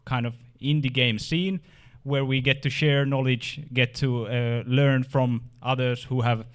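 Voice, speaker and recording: A man's voice: mid-range voice, steady tone, unhurried, smooth. A man hosting a game industry meetup, introducing the speaker with many restarts and fillers. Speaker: meetup host.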